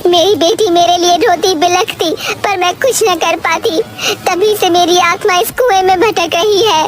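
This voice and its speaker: A woman doing a grieving ghost woman. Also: measured pace. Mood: bitter. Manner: grieving, accusing, low and heavy. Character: vengeful female ghost haunting a well. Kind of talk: animated storybook voice acting.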